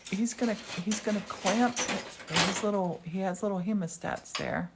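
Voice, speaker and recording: A woman's voice: medium pitch, a little slow, very noisy. A woman hosting a live demonstration in a glass studio, chatting casually and with enthusiasm. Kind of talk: casual live-stream chat and commentary.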